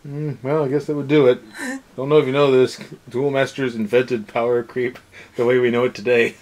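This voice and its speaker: A man's voice: mid-range voice, flat delivery, a little fast, a little mellow. Sarcastic young man ranting casually. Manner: sarcastic, exasperated. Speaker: anime review show co-host. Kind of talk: casual ranting review chat.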